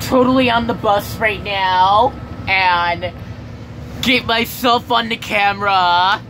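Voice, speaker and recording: Slow-talking young guy on a rumbling bus. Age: teenager or young adult. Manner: repetitive, halting phrasing. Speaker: vlogger. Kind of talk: casual rambling vlog chat. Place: inside a moving school bus. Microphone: handheld camera at arm's length. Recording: loud bus engine and road rumble.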